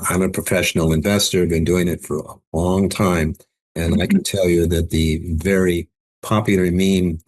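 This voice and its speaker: Middle-aged male investment guest, very deep voice, measured delivery, dry close-mic sound. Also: slightly rough, dry recording, low-quality recording. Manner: measured, repeats words when starting. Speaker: chief investment officer, guest. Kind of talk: podcast interview answer.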